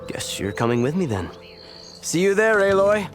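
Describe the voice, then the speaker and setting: A dutiful game soldier with a fairly high, very mobile voice. He is brisk, and music sits clearly behind him. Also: big pitch swings. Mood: matter-of-fact. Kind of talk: video game character acting.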